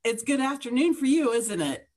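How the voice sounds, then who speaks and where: A woman hosting a podcast who welcomes her guest and asks questions in a casual, friendly way, with quick asides. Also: high voice, expressive, darkish tone. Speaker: podcast host.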